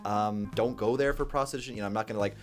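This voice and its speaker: A man's voice: lowish voice, very fast, soft background music. A man in a brash, profane ranting monologue, giving blunt dating advice to camera. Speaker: dating coach.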